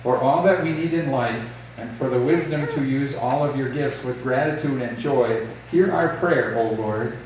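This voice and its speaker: A middle-aged man, a pastor, leading liturgy and reading scripture in a measured, solemn way. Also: mid-range voice, background noise. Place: small church sanctuary. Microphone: distant camera, later lectern mic. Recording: echoey church from a distance.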